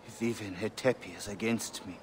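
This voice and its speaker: An actor playing a troubled Egyptian high priest in a video game, speaking with solemn weight. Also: male voice, steady tone, brisk, a little hiss or hum, natural voice. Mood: dismayed. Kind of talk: dramatic video game acting.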